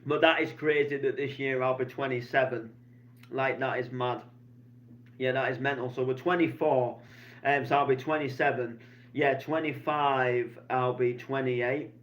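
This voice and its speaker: A man's voice: medium pitch, steady tone, moderate pace, fairly bright tone. Chatty young man, rambling vlog. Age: 20s. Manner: chatty, meandering, many fillers and restarts. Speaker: DJ vlogger. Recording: slightly dull lo-fi sound.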